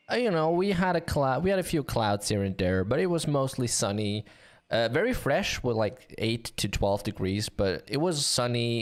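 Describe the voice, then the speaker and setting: A man hosting a live game stream with a sore throat, chatting in an upbeat way with many fillers. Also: on the low side.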